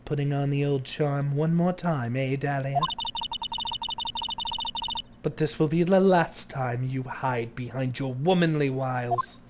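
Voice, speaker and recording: A man's voice: mid-range voice, measured pace, noisy background, lightly acted. A man reading as a cunning, sweet-voiced young woman. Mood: pleading.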